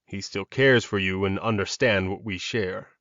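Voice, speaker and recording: A man voicing a calm, protective male werewolf alpha in an audiobook, measured and reassuring. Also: low voice, no room sound, highs cut off.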